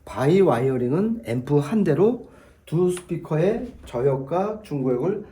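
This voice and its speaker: Guy with kinda high but really mellow voice, explaining hifi stuff step by step, chatty teacher type, repeats himself. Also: very animated, unhurried. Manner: didactic, explains step by step with repetition. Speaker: hi-fi expert presenter explaining audio setups. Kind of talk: conversational explainer.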